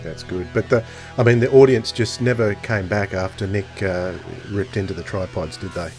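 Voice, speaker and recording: A man's voice: lowish voice, steady tone, moderate pace, a little mellow, faint music behind. A man hosting a podcast, chatting informally and leading into questions in a playful, roundabout way. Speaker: podcast host, interviewer. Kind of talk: casual chat, informal questioning.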